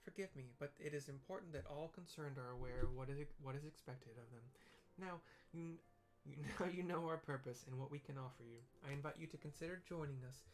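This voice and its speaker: A man's voice: a little high, brisk, few pauses, lightly acted. A man voicing a formal, courtly young lady leader from a video game in an attempted British accent, now and then stumbling over the words. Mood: earnest, formal. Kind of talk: amateur read-aloud of game dialogue. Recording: clearly audible background noise.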